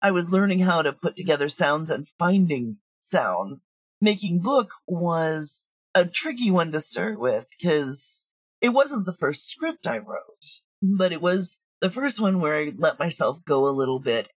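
A woman talking casually in an interview as a writer and creator, telling her stories in loose, animated, broken sentences. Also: on the low side, a little slow, breathy edge, dry recording, highs cut off. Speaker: audio drama creator and writer.

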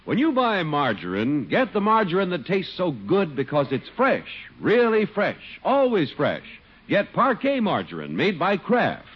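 Casting: male American vintage radio announcer, husky, highly animated, emphatic, muffled sound. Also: unhurried. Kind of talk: 1950s radio announcing, scripted. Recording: muffled 1950s radio recording.

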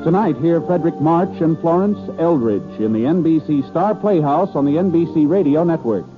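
A man's voice: on the high side, moderate pace, phone-quality sound, over music. A man with an American accent reading formal scripted announcements as a 1950s radio network announcer. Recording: thin band-limited 1950s radio recording.

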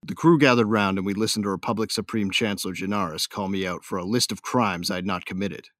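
An actor playing a hard-bitten, vengeful bounty hunter who narrates an audio drama in a gruff, sardonic, slangy way. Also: male voice, on the low side, steady tone, fast, fairly bright tone, no echo, clean studio sound, lightly acted. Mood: grim, sardonic.

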